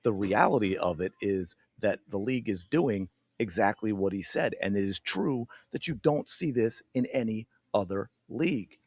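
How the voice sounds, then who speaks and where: Male sports podcast host, slightly low voice, hoarse, emphatic, dry close mic. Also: moderate pace, hardly any pauses, no echo. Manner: emphatic, animated, addresses viewers directly. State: losing his voice. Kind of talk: opinionated sports commentary.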